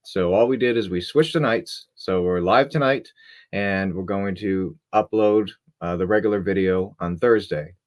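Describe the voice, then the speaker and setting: A man's voice: low voice, moderate pace. Laid-back young man co-host. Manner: laid-back, rambling, many fillers. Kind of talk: casual live-stream chat.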